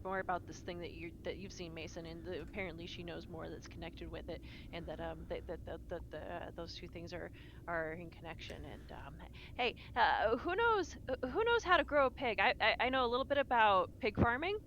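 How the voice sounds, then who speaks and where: A woman player improvising in character in a tabletop role-playing game, halting and repeating her words, with faint noise behind. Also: on the low side, highs cut off. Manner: halting, repetitive stammering delivery. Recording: faint noise on live stream.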